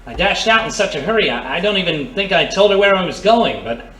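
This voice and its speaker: An actor playing a tough, suspicious reformed crook in a period noir radio drama, slangy and agitated. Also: male voice, high voice, animated, fairly quick, bright, forceful tone, distant mic. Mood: distracted. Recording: roomy, slightly off-mic.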